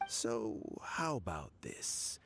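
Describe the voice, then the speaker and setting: An actor playing a weary, courteous old statesman in a video game, with a gravelly voice and formal, measured speech. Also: lowish voice, big pitch swings, fairly bright tone, in a whisper, heavily acted. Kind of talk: scripted video game dialogue.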